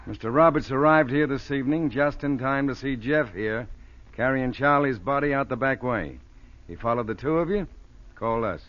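Actor as a dry radio police lieutenant. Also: male voice, medium pitch, normal speed, a bit bright, natural voice. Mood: calm, firm. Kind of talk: 1940s American radio thriller acting. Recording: dull old 1940s radio recording.

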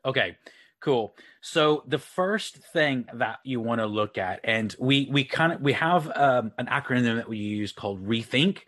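A man's voice: medium pitch, animated, measured pace, fairly bright tone, no echo. A man, a guest coach giving casual, friendly interview answers full of fillers. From Texas, USA. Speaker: guest, online marketing coach. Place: lives near Leeds, UK.

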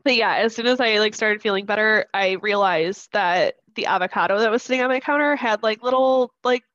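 A woman's voice: medium pitch, brisk, a bit bright, no room sound, dull audio. Congested woman podcast co-host. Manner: laughs often, casual, swears. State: congested, stuffy nose. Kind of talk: casual podcast chat.

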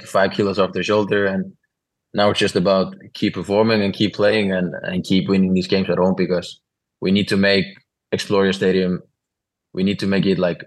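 A young Icelandic footballer with an Icelandic accent, answering interview questions in halting, plain-spoken English with many restarts. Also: male voice, low voice, low-quality recording. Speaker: professional footballer guest.

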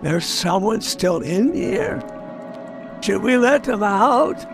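A fussy, grumbling sidekick in a radio mystery. His voice is high for a man and creaky, and he drags his words out very slowly, whining like a reluctant tagalong. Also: sing-song, over music, full character voice. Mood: amazed. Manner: whiny, grumbling, dithering. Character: fussy, complaining, reluctant male agent and unwilling sidekick. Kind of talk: old-time radio comedy-mystery acting.

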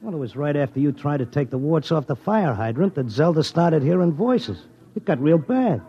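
An actor playing a stubborn, lovesick Broadway handicapper with a New York accent and slangy talk, in 1950s radio drama style. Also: male voice, fairly high pitch, big pitch swings, moderate pace, husky, exaggerated voice. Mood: downcast. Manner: slangy, colloquial tough-guy talk. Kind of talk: 1950s radio drama acting, first-person narration and dialogue. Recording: dull vintage radio recording, music behind.

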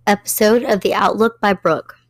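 A hoarse woman hosting a solo commentary podcast, talking conversationally with frequent fillers and pauses. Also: medium pitch, steady tone, dry recording. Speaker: podcast host.

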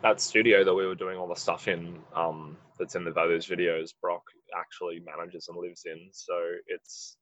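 A musician with a husky voice, answering interview questions in a rambling way, full of "like". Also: male voice, low voice, level tone, moderate pace, few pauses, a bit bright, lo-fi sound. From Australia. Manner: rambling, heavy use of "like". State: husky from late rehearsal. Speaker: musician in a band.

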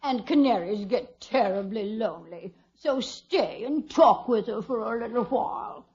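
Overbearing older mother-in-law, imperious and high-pitched, dull old radio recording. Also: animated, a little slow, darkish tone, slight background noise. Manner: imperious, haughty, theatrical. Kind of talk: 1950s radio situation comedy acting. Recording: dull vintage radio broadcast.

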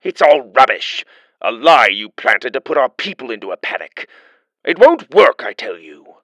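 A man's voice: medium pitch, sing-song, moderate pace, fairly bright tone, dry recording, pitched above the performer's own voice. A man doing a blustering old chancellor. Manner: blustering, irritable. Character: old heavy-set irritable male chancellor.

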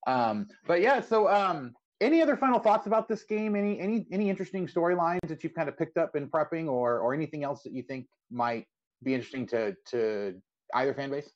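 A man's voice: on the high side, lively intonation, fairly quick. A man hosting a sports podcast, talking casually in an interview. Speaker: podcast host. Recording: slightly dull, lo-fi audio.

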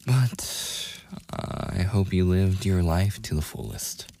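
A man playing a taunting, possessive werewolf in an ASMR roleplay, with a gravelly, husky, breathy voice and a teasing, menacing manner. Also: low-pitched, animated, takes it slowly, warm tone, exaggerated voice. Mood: coolly resigned. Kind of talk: boyfriend ASMR audio roleplay acting.

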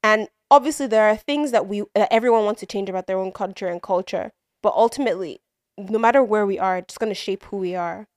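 A woman's voice: medium pitch, fast talker, darkish tone, no echo, clean studio sound. A woman with a Nigerian accent co-hosting a podcast in casual chat. She talks animatedly, with emphatic asides and easy laughter. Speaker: podcast co-host.

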